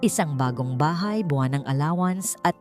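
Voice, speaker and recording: A formal, unemotional male lawyer read by a woman narrator in a romance audio story. The delivery is direct and businesslike, slightly low, over a music bed. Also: expressive, normal speed. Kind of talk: storybook character dialogue.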